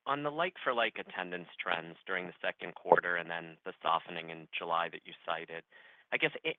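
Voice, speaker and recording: A man's voice: deep voice, dry recording. A man calling in as a financial analyst, putting a question to executives on an earnings call. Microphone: phone. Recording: dry, band-limited telephone line.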